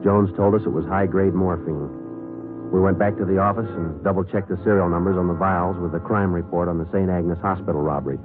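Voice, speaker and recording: A man's voice: low voice, fairly even intonation, a little fast, lightly acted. An American man playing a terse, businesslike police detective, narrating and talking in a clipped, matter-of-fact way in a vintage radio drama. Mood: deadpan. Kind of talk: 1950s radio drama acting and first-person case narration. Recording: tinny old-time radio recording with music.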